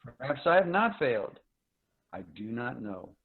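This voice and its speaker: A man plays a worn-out starship commander, somber and resigned. He speaks in measured, solemn phrases as in a staged reading. The sound is narrow and phone-like, as over a video call. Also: medium pitch, very animated, breathy edge, full character voice. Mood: somber resignation. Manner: measured, solemn dramatic delivery. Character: tired space commander Lars, aged by voyage, somber. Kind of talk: dramatic virtual play reading.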